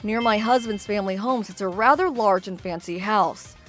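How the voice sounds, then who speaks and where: A woman's voice: mid-range voice, moderate pace, natural voice. A woman voicing a friendly, ordinary young wife who tells her story expressively in a manga dub, over background music. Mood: storytelling. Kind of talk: scripted manga dub narration.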